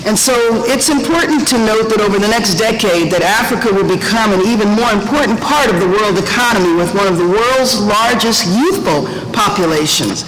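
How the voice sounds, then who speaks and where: A woman's voice: mid-range voice, animated, moderate pace, hardly any pauses. A woman hosting a policy forum, reading out panelist introductions with frequent hesitation fillers. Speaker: forum host and moderator. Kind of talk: formal panel moderation. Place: conference room. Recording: echoey conference room.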